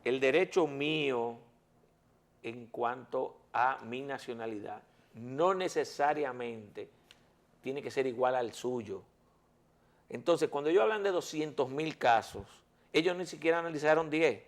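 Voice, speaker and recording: A man's voice: medium pitch, a little slow. A man, a lawyer guest on a talk show, arguing insistently and repeating words to hold the floor. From Dominican Republic. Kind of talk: heated talk-show debate.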